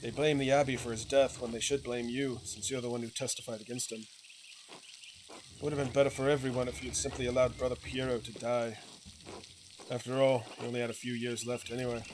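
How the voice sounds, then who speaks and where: Stern, resentful monk for a video game, man reading, formal and pointed, mid pitch, noisy background. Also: flat delivery, a little fast, close to an everyday voice. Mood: accusing. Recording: clear sizzling ambient noise behind voice.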